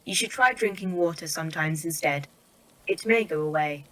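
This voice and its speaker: A woman plays a calm artificial intelligence running a secret facility, speaking in a clinical, detached manner. Her voice sits a little low and steady, like a character in a sci-fi horror audio drama. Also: moderate pace, rough recording. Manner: clinical, detached, matter-of-fact. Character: calm precise female facility AI.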